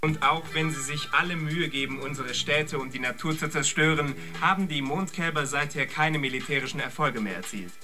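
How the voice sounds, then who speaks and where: An actor playing an effusive, polished television show host in a radio drama. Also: male voice, on the high side, a little fast, hardly any pauses, lightly acted. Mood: effusive, presenting. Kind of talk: TV presenting within a German radio drama. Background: music.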